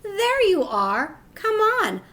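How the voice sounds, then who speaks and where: Woman doing a gushing, high-voiced principal. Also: wildly swooping pitch, slow, a bit bright, exaggerated voice. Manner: gushing, effusive. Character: gushing, reassuring female school principal. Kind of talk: children's picture-book read-aloud.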